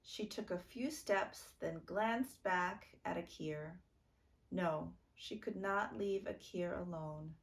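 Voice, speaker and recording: Slow, gravelly-edged woman reading a storybook. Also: on the low side. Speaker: storytime reader. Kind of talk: children's book read-aloud narration.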